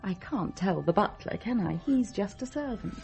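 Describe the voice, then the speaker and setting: Mellow, restless young English lady. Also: mid-range voice, expressive, normal speed, gravelly, soft background music. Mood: impatient. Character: restless, candid young upper-class granddaughter of a magnate. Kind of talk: radio drama acting.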